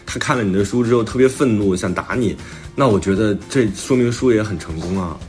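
A man's voice: fairly low pitch, brisk, a little mellow. A man speaking as an author in a casual book talk, explaining his thinking in a reflective way. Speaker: author and podcast host discussing their own book. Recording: music playing behind the talk. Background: background music.

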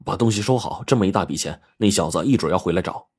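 A man's voice: deep voice, animated, quick pace, darkish tone, breathy edge, heavily acted. A man voicing a protective, hot-headed young husband in an audio story, blunt and plain-spoken. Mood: firm.

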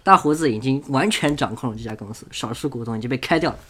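Medium-pitched man, casual podcast co-host. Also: quick pace, fairly bright tone, breathy edge. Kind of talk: casual motorsport discussion.

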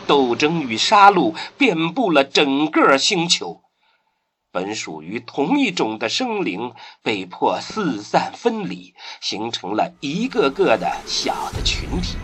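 A man's voice: mid-range voice, a bit bright. A man giving scripted narration for a radio drama in a theatrical storytelling manner. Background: music.

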